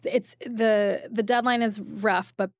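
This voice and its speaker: A woman's voice: medium pitch, fairly even intonation, no echo, clean studio sound. A woman being interviewed in casual conversation, often interrupting herself and starting over, leaning on "like" as she thinks aloud. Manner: halting, self-interrupting, frequent "like". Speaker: restaurant critic, interview guest.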